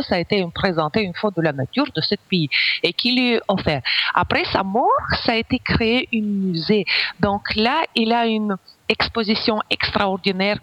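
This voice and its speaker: A middle-aged Ukrainian woman guest on a radio show, speaking French with an accent, often pausing to search for words. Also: medium pitch, dull audio. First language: Russian. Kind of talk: casual radio interview.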